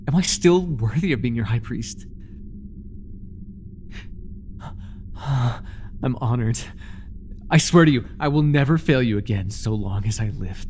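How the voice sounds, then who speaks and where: A man playing a devoted, fawning high priest of a dark god in an audio roleplay, stammering, reverent and overeager, with breathy delivery. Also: slight background noise, close to an everyday voice. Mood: grateful, awed.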